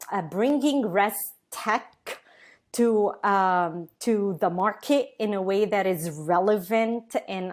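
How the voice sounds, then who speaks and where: A woman, a guest on a webinar interview, speaking thoughtfully with many pauses, fillers and restarts, over a video call. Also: medium pitch. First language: Arabic.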